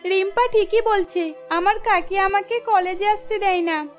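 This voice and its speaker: Woman as a very high-voiced, meek young woman. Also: fairly even intonation, unhurried. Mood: earnest, resigned. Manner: pleading, earnest. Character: meek, pleading orphaned college girl, young woman. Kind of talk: cartoon story voice acting. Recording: music behind the voice.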